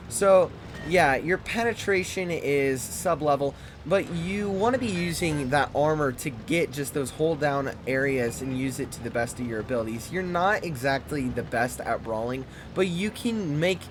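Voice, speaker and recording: A man hosting a gaming channel, reviewing a tank game in loose, fast, chatty commentary. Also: on the high side, noisy background.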